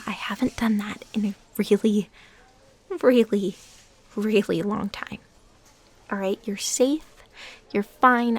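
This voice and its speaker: A woman playing a lovesick, defensive and unstable former stalker in an intimate audio drama, speaking in a halting, emotionally intense way. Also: on the high side, a little mellow, slightly rough. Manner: halting, pleading, emotionally intense, drops into whispers.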